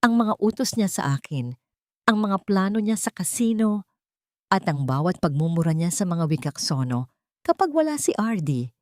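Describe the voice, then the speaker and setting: A woman's voice: lowish voice, very animated, normal speed, no echo. Woman reads as sneering male driver, Filipino accent, greedy and wary, furtive delivery, dry audiobook drama. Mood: furtive, warning. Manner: mocking, furtive. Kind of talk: audiobook melodrama.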